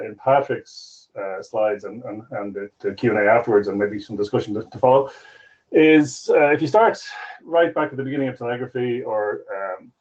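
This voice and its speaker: Hesitant male speaker, steady mid voice, slightly dull call audio. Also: mid-range voice. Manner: hesitant, many fillers and restarts. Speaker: conference speaker. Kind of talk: conference talk over video call.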